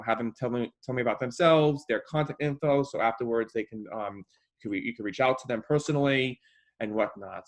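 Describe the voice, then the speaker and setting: A man hosting a webinar panel over a video call, hesitant with a slight stutter and many fillers. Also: medium pitch. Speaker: host and moderator. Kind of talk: casual webinar moderation.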